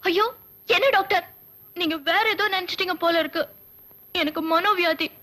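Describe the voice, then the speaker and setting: Young woman patient, shy, stammering, very high voice, film comedy. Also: steady tone, normal speed, pushed and bright. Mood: bashful. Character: timid young woman who sleepwalks, fretting about marriage.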